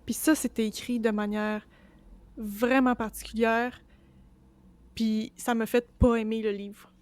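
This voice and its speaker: A woman with a Quebec French accent co-hosting a book podcast, talking in a relaxed, frank way. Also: on the high side, measured pace, no room sound. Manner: relaxed, frank, laughs lightly. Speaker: podcast co-host. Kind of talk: casual chat about books.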